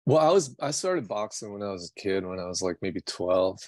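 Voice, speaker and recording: A man's voice: deep voice, animated, a little fast, no room sound, studio quality. An American man from New York City, a singer-songwriter chatting casually in an interview in a rambling, slangy, self-deprecating way. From New York City, United States.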